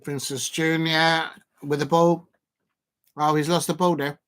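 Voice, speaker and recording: A man's voice: a little high, little pitch movement, measured pace, fairly bright tone, clean tone. Hesitant man hosting a football watch-along. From Colombia. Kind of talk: casual live match commentary.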